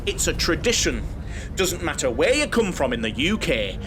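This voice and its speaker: Bloke acting wittery northern english mummy's boy, naive n overfriendly, high swoopy voice, audio drama. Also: sing-song, normal speed. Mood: cheery, chatty. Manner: wittering, over-friendly, giggly, homely. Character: chatty, naive, lonely mother's boy on a coach holiday. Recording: noisy, vehicle rumble behind.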